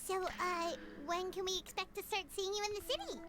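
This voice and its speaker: A woman voicing a perky small female fairy companion in a game, with a high, childlike voice. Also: steady tone, a little fast, loud music behind the voice. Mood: chirpy. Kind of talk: game voice acting.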